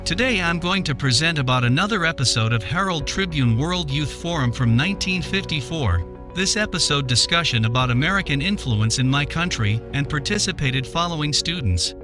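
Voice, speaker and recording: A man's voice: on the high side, expressive, brisk, music in the background. A man presenting an archive clip, reading a plain scripted introduction.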